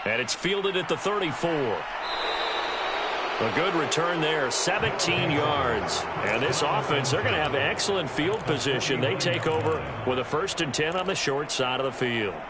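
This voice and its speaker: A man's voice: fairly high pitch, animated, normal speed, a loud crowd. A man with an American accent calling football play-by-play in a polished broadcast style. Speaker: play-by-play sports commentator. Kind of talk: American football video game commentary. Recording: loud game noise and music behind.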